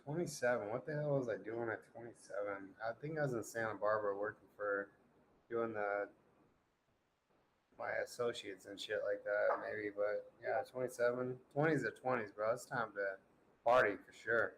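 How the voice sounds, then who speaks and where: Man, 40s, lowish voice, profane joking banter, faint noise behind. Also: fairly even intonation, normal speed, fairly bright tone, low-quality recording. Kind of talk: casual group chat.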